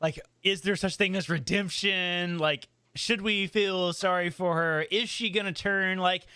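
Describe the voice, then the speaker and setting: Male roundtable panelist, casual delivery, crisp bright tone, recorded dry. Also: high-pitched, fairly even intonation, unhurried, dry recording. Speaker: podcast panelist.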